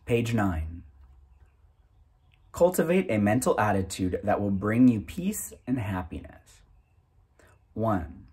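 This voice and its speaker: A man in his thirties reading a self-help booklet aloud in a deliberate, item-by-item way with pauses. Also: lowish voice, darkish tone, slightly rough. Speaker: reader.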